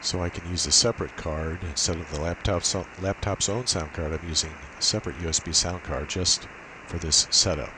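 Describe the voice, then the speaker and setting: A low-pitched man talks through a technical demo in an informal, halting way, as if working it out aloud. The recording carries a clear, steady hiss from a cheap built-in laptop microphone. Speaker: amateur radio operator giving a tech demo. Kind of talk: informal screen demo walkthrough.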